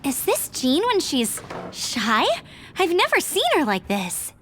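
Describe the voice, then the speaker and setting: A friendly, energetic young female scout from a video game. Her high voice is very lively, with faint noise behind it. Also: slow pace, heavily acted. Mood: perky, friendly.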